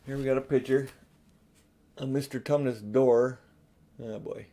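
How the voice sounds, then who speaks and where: A man's voice: medium pitch, steady tone, unhurried, warm tone. An older man reading a children's storybook aloud as narrator. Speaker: audiobook reader.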